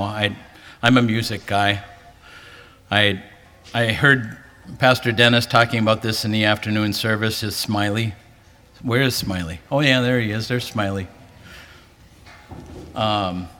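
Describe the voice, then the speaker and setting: Male pastor, earnest sermon delivery, bright and forceful, reverberant convention hall. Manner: earnest, rising pitch for emphasis. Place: large hall, preaching to a convention.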